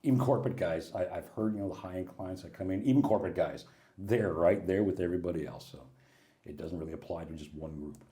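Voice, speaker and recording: A middle-aged American man chatting as an interview guest, telling lively stories and acting out the people he quotes. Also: deep voice, fast. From USA. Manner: animated storyteller, acts out quoted people, laughs often. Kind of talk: casual interview chat.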